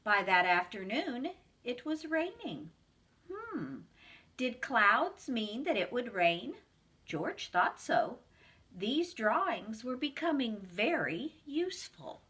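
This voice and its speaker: Preschool teacher, woman, reads a picture book aloud to kids, high and animated. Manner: slow, expressive storybook reading.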